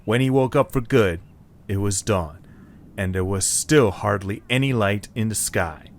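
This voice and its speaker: A man's voice: on the low side, a little slow. Man narrating a scripted story.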